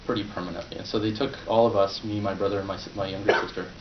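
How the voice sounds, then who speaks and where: Low-voiced Polish-born man giving a club speech. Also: flat delivery, rapid-fire, a little hiss or hum. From Poland. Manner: conversational, with fillers and restarts. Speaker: club speaker. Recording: roomy, distant, dull sound.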